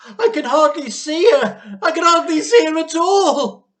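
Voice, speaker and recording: A man's voice: very high voice, lively intonation, unhurried, a bit bright, pitched above the performer's own voice, heavily acted. A man voicing a frantic middle-aged farm wife in a storybook, with shrieking, panicky cries. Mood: desperate wailing.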